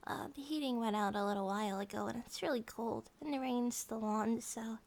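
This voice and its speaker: A woman voicing a gentle, affectionate monster-girl bear wife in a soft ASMR comfort roleplay, often dropping to a whisper. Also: fairly high pitch, a little fast, creaky. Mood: soft, caring.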